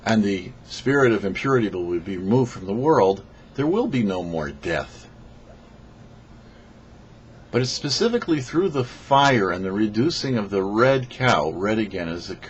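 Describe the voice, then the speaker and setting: A man reading a scripted religious teaching as a rabbi and show host, phrasing deliberately and emphatically with pauses. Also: on the low side. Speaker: rabbi, host of a weekly Torah portion show. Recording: dull lo-fi audio with faint noise.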